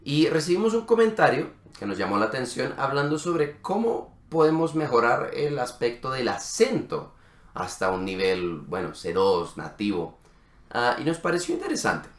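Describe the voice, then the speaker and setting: A man's voice: medium pitch, sing-song, normal speed. A man co-hosting a casual conversation, chatty, often finishing the others' sentences. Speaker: co-host of a language channel. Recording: roomy echo.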